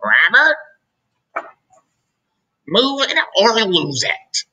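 A man's voice: high-pitched, huge pitch swings, drawn-out words, bright, forceful tone, slightly rough, voice pushed up, full character voice. A man voicing a stern female monster schoolteacher in a storybook, commanding and theatrical, drawing out words. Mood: stern, bossy. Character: stern monster schoolteacher, adult woman, stomping and roaring, softening.

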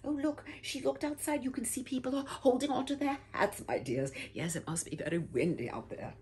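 Breathy older woman reading a storybook. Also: animated, fairly quick, a little mellow. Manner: theatrical, swoops high and low, whispers often, calls listeners "my dears". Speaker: storybook reader. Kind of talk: expressive read-aloud for children. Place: home room with bookshelf, indoors.

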